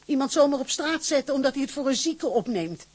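A woman's voice: high voice, expressive, fairly quick, a little mellow. An actress playing a middle-aged widowed mother in a radio drama, garrulous, fussy and deferential, hesitating as she chatters. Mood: welcoming, fussing. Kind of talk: Dutch radio drama acting.

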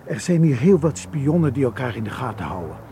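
An actor playing a careful, level-headed Egyptian tour guide in a radio drama, measured and reassuring. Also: male voice, medium pitch, big pitch swings, gravelly. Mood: concerned. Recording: noisy old radio play recording.

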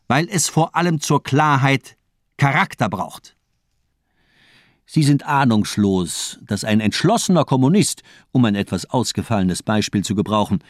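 A cold, fanatical villain of radio crime drama, acted by a man. He is a nihilist doctor who declaims in mocking, rhetorical speeches. His pitch moves in big sweeps, and the sound is dry. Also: dry recording, close to an everyday voice. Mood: scornful.

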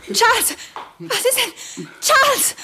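An actress playing a cheerful, affectionate wife in a 1960s German radio play. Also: female voice, very high-pitched, big pitch swings, slow pace, pushed and bright, slight background noise, exaggerated voice. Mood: alarmed.

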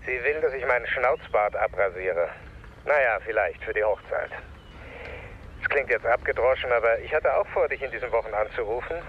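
An actor playing a friendly, apologetic former agent chatting through a telephone filter in a radio drama. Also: male voice, mid-range voice, animated, brisk, slight background noise. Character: apologetic, friendly male ex-agent, newly engaged. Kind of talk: radio drama phone conversation. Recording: narrow tinny phone line.

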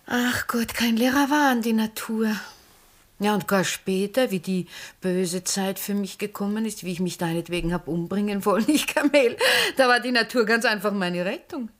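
An actress playing a vivacious, effusive middle-aged former stage star with an Austrian accent in a 1960s radio drama. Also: female voice, medium pitch, moderate pace, natural voice. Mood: nostalgic, animated. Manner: theatrical, effusive, often breaking into laughter. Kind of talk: 1960s German-language radio drama acting.

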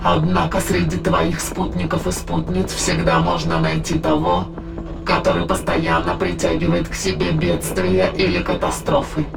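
An ancient, sinister demonic being holds forth in an audio drama. The voice is extremely gravelly and creaky, with solemn, archaic, declamatory delivery. Music plays clearly behind it. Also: mid-range voice, level tone, normal speed, low-quality recording. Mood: solemn, menacing. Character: sinister ancient demonic spirit, solemn, gloating, coldly patient. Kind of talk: dramatized audio play, formal archaic monologue. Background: dramatic background music.

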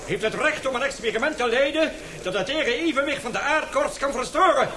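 Schelle, verontwaardigde oude professor. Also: male voice, extremely high pitch, expressive, a little fast, noisy background. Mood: angry, alarmed. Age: older. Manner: agitated, declamatory. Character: indignant, stubborn old professor, alarmed dissenter. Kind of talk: radio play acting.

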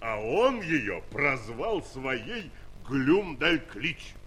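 A man's voice: medium pitch, big pitch swings, a bit bright, heavily acted. An actor playing a good-natured, homely farmer and proud father in a children's audio play. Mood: proud, boastful. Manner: unhurried, homely.

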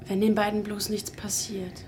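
An actress playing a caring, practical woman who talks in a gently persuasive way in a radio drama. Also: medium pitch, monotone, normal speed, a little hiss or hum, close to an everyday voice. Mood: worried. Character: caring, sociable, practical-minded female confidante. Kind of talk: German radio crime drama acting.

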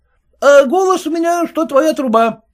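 A fawning, self-important footman with a high, bouncing voice that climbs on every boast, played by a man reading a story aloud. He chatters eagerly and ingratiatingly. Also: expressive, moderate pace. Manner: ingratiating, adds servile "-с" to words. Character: fawning ambitious male footman, puffed-up.

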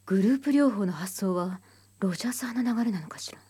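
An actress playing a composed, analytical psychology expert in a radio drama, thoughtful and probing in manner. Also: female voice, mid-range voice, lively intonation, quick pace, warm tone, husky. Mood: probing, grave.

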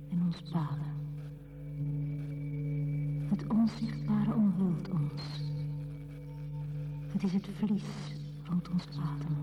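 An actress playing a mysterious, solemn voice that recites mystical poetry in a radio play, measured and grave. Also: female voice, fairly low pitch, dull audio. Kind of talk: poetic radio drama recitation.

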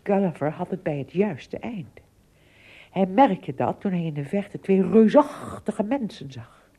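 A woman narrating an adventure tale in a radio play, with expressive, dramatic phrasing and a husky, breathy voice. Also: lowish voice, a little slow. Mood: suspenseful storytelling. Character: female storyteller of an adventure tale.

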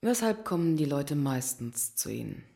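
Very low-pitched, world-weary woman. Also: very animated, normal speed, vocal fry, warm tone, full character voice. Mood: guarded, weary. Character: guarded, world-weary female prostitute in therapy. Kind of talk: German radio thriller acting.

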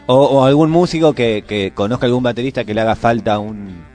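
A man's voice: mid-range voice, brisk, darkish tone. An Argentine man co-hosts a live radio show in a casual, chatty way. His voice has an ordinary male register, and light music plays faintly behind him. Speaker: radio co-host. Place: radio studio.

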